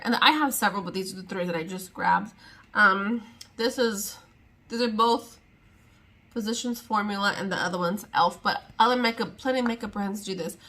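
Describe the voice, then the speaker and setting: Young woman vlogger rambling on about her makeup at home, chatty and a bit fed up, mid-pitched voice. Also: fairly bright tone. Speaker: beauty vlogger. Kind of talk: casual sit-down chat to camera.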